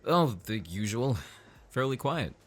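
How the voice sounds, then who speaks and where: An easygoing, affectionate dad in an audio drama. He is chipper and teasing, with very animated inflection over faint music. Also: medium pitch, unhurried. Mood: warm, playful.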